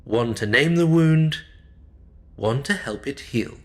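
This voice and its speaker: An actor playing a solemn, watchful manor caretaker in an audio drama, speaking in measured, ominous understatement. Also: male voice, medium pitch, animated, background noise. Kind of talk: supernatural comedy audio drama acting.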